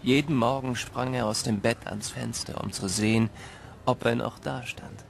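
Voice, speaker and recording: An actor playing a grown man wistfully recalling his orphan boyhood in a measured, reflective radio drama narration. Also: medium pitch, steady tone, gravelly.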